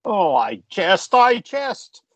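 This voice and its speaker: A man acting a jovial, lecherous Victorian member of Parliament in a radio play, pompous and theatrical with flirtatious, drawn-out phrasing. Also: high voice, very animated, a bit bright, no room sound, full character voice. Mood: brisk, commanding.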